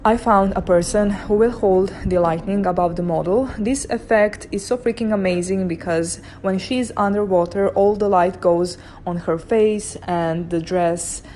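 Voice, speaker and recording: A woman's voice: mid-range voice, steady tone, a little slow, hardly any pauses, mellow, a little hiss or hum. A young woman with a Serbian accent, a photographer narrating her shoot in a chatty way and laughing often. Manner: chatty, laughs often, non-native phrasing. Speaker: photographer vlogger.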